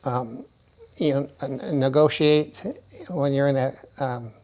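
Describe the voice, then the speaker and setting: Flat-voiced hesitant man. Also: mid-range voice, unhurried. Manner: hesitant, many fillers and restarts. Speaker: volunteer tutor, guest. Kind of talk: interview answers.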